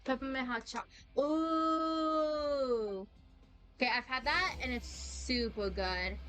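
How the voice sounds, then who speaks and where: Bright, high-voiced young woman streaming. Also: slow. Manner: rambling, excitable, slangy. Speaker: game streamer. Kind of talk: casual live-stream chat while playing. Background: game music.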